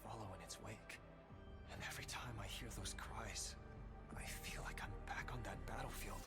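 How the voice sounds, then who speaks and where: An actor playing a solemn young warrior haunted by battle, in a dramatic game trailer. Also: male voice, lowish voice, steady tone, normal speed, in a whisper, close to an everyday voice. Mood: haunted, grave. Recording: game trailer audio under loud music.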